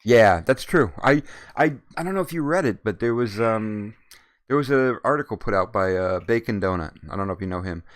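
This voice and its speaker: A man's voice: on the low side, lively intonation, fairly quick. A man hosting a casual interview who rambles, swears freely and laughs often. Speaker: interview host.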